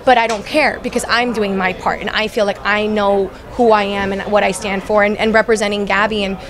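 A woman's voice: mid-range voice, little pitch movement, a little fast. A young woman from Miami, an actress being interviewed at an event, talking earnestly with many restarts into a handheld mic. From Miami, USA. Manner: earnest, frequent restarts and fillers. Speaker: actress guest. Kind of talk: casual interview chat. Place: event backdrop, crowded venue. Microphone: handheld mic held by interviewer. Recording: crowd chatter behind.

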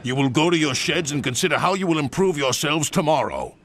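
A man's voice: fairly high pitch, a little fast, fairly bright tone, a little hiss or hum, pitched above the performer's own voice. A man voicing a stern, formal, authoritative railway boss in a children's story.

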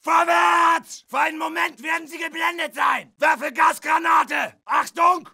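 Aggressive German infantry soldier for war game: frantic barks, very high, gravelly, faint crowd behind. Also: male voice, steady tone, measured pace, pushed and bright, shouting, full character voice. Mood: urgent, aggressive. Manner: frantic, barking. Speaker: video game voice actor. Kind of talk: shouted combat barks.

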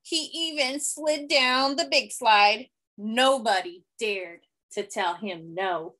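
A woman in her thirties reading a children's picture book aloud expressively, with deliberate, simple phrasing and emphasis. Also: on the high side, bright, forceful tone. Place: small indoor room.

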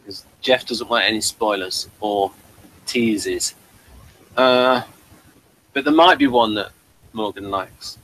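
A man's voice: lowish voice, very animated, slow, creaky, long pauses, a bit bright, low-quality recording. A man hosting a casual review chat, talking in a hesitant, rambling way. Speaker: review show host.

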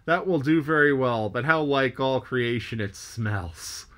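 A man reading an audiobook, voicing a jovial, joking male whaling mate who hails and teases loudly. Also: medium pitch, a little slow, natural voice. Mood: amused. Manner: bantering, teasing, hailing loudly.

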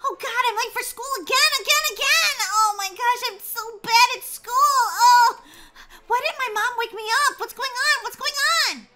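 Woman acting a very high-pitched, whiny schoolgirl. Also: expressive, moderate pace, pushed and bright, faint music behind. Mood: panicked. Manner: melodramatic, pleading, exclaiming. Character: whiny, dramatic schoolgirl daughter, pleading and excitable.

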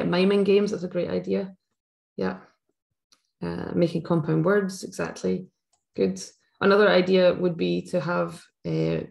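A woman's voice: lowish voice, vocal fry, a little mellow. Scottish woman leading a teaching webinar. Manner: friendly, explanatory, with frequent fillers. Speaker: teacher trainer presenting a webinar. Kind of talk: live webinar talk. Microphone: webcam video call.

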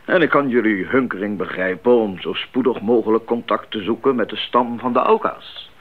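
An actor playing an older missionary doctor in a radio drama, an earnest and genial mentor who explains things deliberately and a little formally. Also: male voice, on the low side, close to an everyday voice. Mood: genial, explanatory. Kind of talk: 1980s radio drama acting. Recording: muffled band-limited 1980s radio recording.

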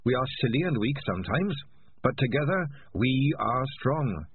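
A man doing a small calm rat. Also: mid-range voice, moderate pace, husky, heavily acted. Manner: calm, polite, thoughtful. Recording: tinny, lo-fi sound with faint noise.

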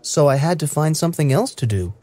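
Lively clear-voiced man reading practice lines. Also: on the high side, fast, no echo. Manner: clear, careful, evenly paced delivery. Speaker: English listening practice reader. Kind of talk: slow scripted reading for learners.

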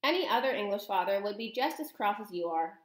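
A woman's voice: medium pitch, fairly quick, lightly acted. A woman reads as an elderly Norwegian grandmother from a storybook. She is unruffled and shrewd, speaking matter-of-factly with a touch of mischief. The sound has some room echo. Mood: calm. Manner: calm, matter-of-fact.

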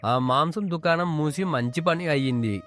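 A friendly village food lover from a cartoon moral tale, played by a man. His voice is on the high side, effusive and respectful, with music behind it. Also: normal speed, a bit bright, lightly acted. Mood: delighted. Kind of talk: animated moral story dialogue. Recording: music under the voice.

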